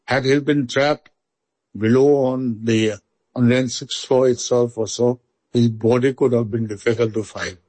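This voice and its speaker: A man's voice: mid-range voice, fairly even intonation, unhurried, dark tone, dry recording. Reflective Indian-accented man. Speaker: interviewee. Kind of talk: recorded remembrance.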